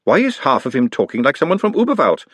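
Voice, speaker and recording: A man voicing an anxious young woman who speaks in a sharp, wary way. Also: on the high side, sing-song, fast talker, husky, dry recording, pitched above the performer's own voice, heavily acted.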